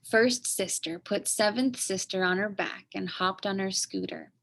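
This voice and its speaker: Woman reading a storybook, slow and dramatic. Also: mid-range voice, gravelly, a bit bright. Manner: dramatic, with emphatic pauses and sound effects. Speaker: storyteller reading a picture book aloud. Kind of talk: expressive children's read-aloud narration.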